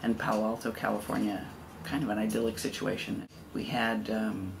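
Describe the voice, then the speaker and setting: A middle-aged man recalling his schooling in a gentle, meandering way. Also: fairly low pitch, level tone, moderate pace, creaky, noisy background. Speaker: interviewee. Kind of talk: casual recollection.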